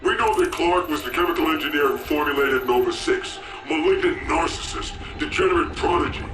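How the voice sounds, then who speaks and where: A man's voice: a little high, fairly quick, close to an everyday voice. A man playing a cold, insistent military intelligence interrogator in a video game, clipped and methodical. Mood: cold, probing. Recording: echoey, distant voice over music.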